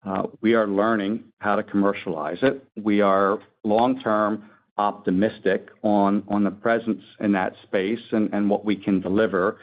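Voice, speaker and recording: A man speaking as a company executive, answering analysts on an earnings call in a measured, careful corporate manner. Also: lowish voice, level tone. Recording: dull, lo-fi conference call audio.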